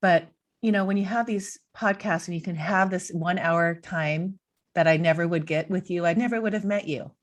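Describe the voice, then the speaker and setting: Upbeat woman, lowish voice, podcast host. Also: moderate pace. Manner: upbeat, gushing, many "you know" fillers. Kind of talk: scripted promo then casual interview chat. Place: living room at home. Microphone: studio mic on a boom arm, earbuds, video call.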